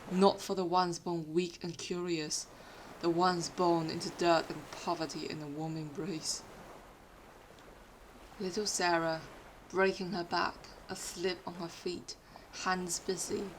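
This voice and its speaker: A woman who is not a native English speaker, with a British-influenced accent, reads fiction aloud in an expressive, literary storytelling manner. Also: fairly low pitch, a little hiss or hum, rough recording. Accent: British-influenced non-native English. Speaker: podfic reader. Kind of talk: dramatic scripted fiction narration.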